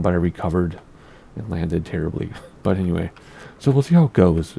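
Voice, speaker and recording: Gravelly low-voiced man, allergy-scratchy. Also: warm tone. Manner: rambling, easily distracted, self-deprecating, laughs at himself. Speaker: flight sim gameplay host. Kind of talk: casual gameplay commentary.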